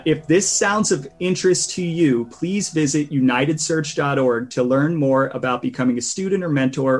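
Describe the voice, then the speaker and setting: A man hosting a podcast in a conversational interview style, with a halting delivery. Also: medium pitch. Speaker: podcast host.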